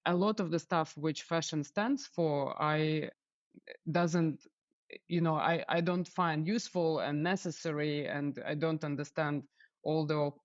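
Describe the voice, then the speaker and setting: A woman from Ukraine, a stylist guest telling her story in a relaxed interview, with many small fillers. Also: low-pitched, no echo, dull audio. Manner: warm, storytelling, frequent "uh" fillers. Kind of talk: casual interview chat.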